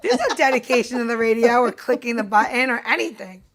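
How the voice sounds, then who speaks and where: A woman with a fairly high voice, co-hosting in loose, casual banter. She is playful and emphatic, stressing her points and drifting happily into side topics. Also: animated, fast. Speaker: podcast co-host.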